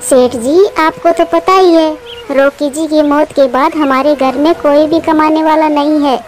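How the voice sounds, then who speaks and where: Woman as a high, plaintive mother bird. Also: steady tone, measured pace, darkish tone, faint music behind. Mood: pleading. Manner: plaintive, humble. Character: poor, pleading widowed mother bird. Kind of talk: Hindi cartoon dialogue.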